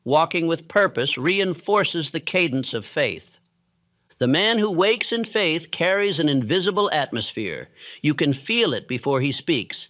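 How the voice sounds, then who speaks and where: A man with a slightly high voice narrates a self-help audiobook meant for falling asleep. His delivery is calm, solemn and evenly measured. The sound is close and dry, though somewhat dull and lo-fi. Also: dry recording. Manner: calm, steady, measured rhythm.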